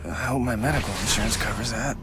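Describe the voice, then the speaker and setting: An actor playing a flippant, sarcastic young superhero in a video game. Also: male voice, lowish voice, steady tone, fast talker, heavy background noise, natural voice. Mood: weary, sarcastic. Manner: sarcastic, quippy. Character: wisecracking young male superhero, flippant but weary.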